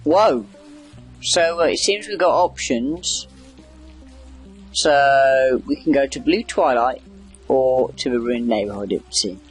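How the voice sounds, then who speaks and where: A man's voice: mid-range voice, animated, takes it slowly, pauses often, breathy edge, soft background music, poor audio. A man giving casual, rambling video game commentary, wry and chatty as he reacts aloud. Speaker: gamer doing a video game playthrough.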